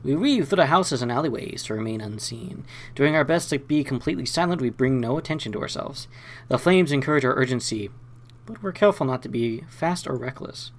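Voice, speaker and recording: A man's voice: mid-range voice, expressive, fairly quick. A man hosting a let's play, mixing casual game commentary with reading story text aloud.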